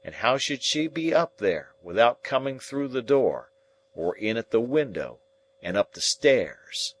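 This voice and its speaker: A man's voice: mid-range voice, sing-song, a little slow. A man voicing a crafty, cowardly male swindler, scornful and blustering.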